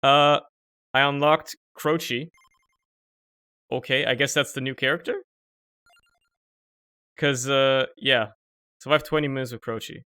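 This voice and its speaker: A man's voice: mid-range voice, steady tone, slow pace, pauses often, sharp tone, clear, resonant tone. A young man with German as his first language, streaming a video game and rambling through casual, joking commentary that swears often, recorded at home on a desk microphone.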